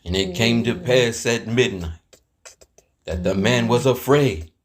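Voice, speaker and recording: A man giving a slow, measured, solemn dramatized reading of archaic scripture as narrator. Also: medium pitch, crowd noise.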